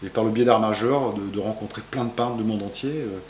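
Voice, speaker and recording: Hesitant French painter in echoey gallery. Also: male voice, fairly low pitch, steady tone, brisk, darkish tone, a little hiss or hum. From France. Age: 50s. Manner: rambling, hesitant with many fillers and restarts. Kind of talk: interview answers. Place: art gallery, indoors.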